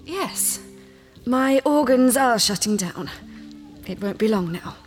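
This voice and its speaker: Slow, grave old alien woman. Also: fairly high pitch, expressive, soft background music. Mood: calm, resigned. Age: older. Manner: slow, deliberate, solemn. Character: ancient dying female alien villager, grave, serene great-grandmother. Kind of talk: sci-fi audio drama acting.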